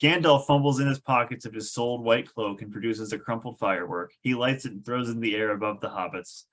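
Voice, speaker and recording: A man's voice: mid-range voice, fast, a bit bright. A man narrating a table read of a screenplay, reading stage directions with wry, amused asides.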